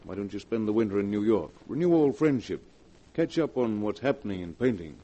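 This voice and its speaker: An actor playing a concerned, level-headed best friend, measured and persuasive, in a 1940s radio drama. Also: male voice, lowish voice, animated, dark tone. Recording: dull, faintly noisy old radio recording.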